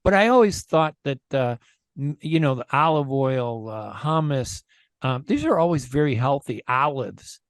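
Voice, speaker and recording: A chatty male radio host talks into a close studio mic that leaves his voice dry and free of room sound. His pitch sits in a middle range for a man, and he thinks aloud with frequent fillers. He leans into his opinions as he talks. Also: animated, moderate pace, clear, resonant tone, dry recording. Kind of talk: casual radio conversation.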